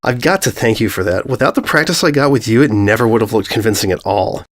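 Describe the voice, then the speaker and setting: A man's voice: lowish voice, quick pace, lightly acted. Man voicing a breezy, oblivious stallion pony. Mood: cheerfully oblivious.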